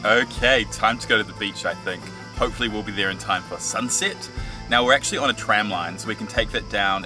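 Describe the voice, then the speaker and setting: Man, lowish voice, casual travel vlog co-host, chatting to camera, clear music behind. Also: distant mic.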